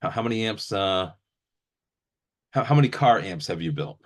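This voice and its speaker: A man's voice: low-pitched, animated, moderate pace. A man interviewing in a casual, informal way, agreeable and given to repeated short affirmations. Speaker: interviewer and video host.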